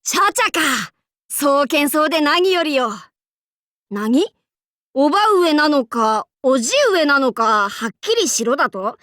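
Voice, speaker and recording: A woman's voice: sits high, animated, a little slow, a bit bright, no room sound, clean studio sound. A woman voicing a bold, androgynous young demon-king warlord in an anime game, with boastful, archaic lordly speech and playful swagger. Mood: bemused. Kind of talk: anime game character voice lines.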